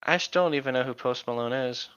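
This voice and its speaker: Bright-voiced man, blunt, slightly lo-fi mic. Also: medium pitch, fast, clean tone, dry recording. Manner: terse, blunt reactions. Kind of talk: casual chat.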